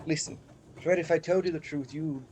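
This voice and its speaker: A man's voice: on the high side, steady tone, a little fast, darkish tone, slight background noise, natural voice. A man acting a gloomy, troubled older uncle in a comic radio play, weary and exasperated.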